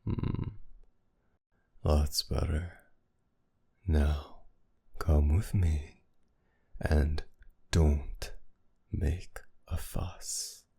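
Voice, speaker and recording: Male actor as menacing villain kidnapper, dark mellow tone, husky gravelly murmur, taunting, flirtatious, commanding, intimate ASMR roleplay. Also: steady tone, full character voice. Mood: menacing, controlling. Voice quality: low, breathy, gravelly murmur often dropping toward whisper. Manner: taunting, commanding, slow and teasing.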